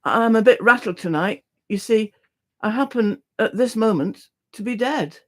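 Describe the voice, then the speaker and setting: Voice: mid-range voice, a little slow, natural voice. A reader voicing a nervous, rattled little man in a novel, stammering and humbly apologetic as he begs for help. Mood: humble, rattled.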